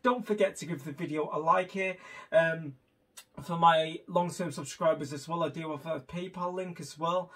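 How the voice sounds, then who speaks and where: Guy doing casual reaction talk, chatty, highish voice, kinda far from the camera mic. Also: normal speed, fairly bright tone. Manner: chatty, informal. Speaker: reaction channel host. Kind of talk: casual music video reaction commentary. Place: small room at home, indoors. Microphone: camera a little way off. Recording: distant camera microphone.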